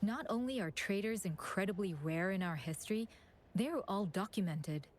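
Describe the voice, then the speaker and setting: A woman's voice: mid-range voice, moderate pace, lightly acted. An actress plays a female chief administrator in a story-driven video game. She is courteous and composed, speaking in a mid-range voice with an orderly, official manner. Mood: composed, analytical.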